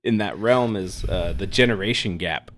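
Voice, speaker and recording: A man's voice: deep voice, moderate pace, a bit bright. A man in his thirties co-hosting a podcast, chatting casually in a teasing, joking way. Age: 30s. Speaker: podcast co-host. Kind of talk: casual chat.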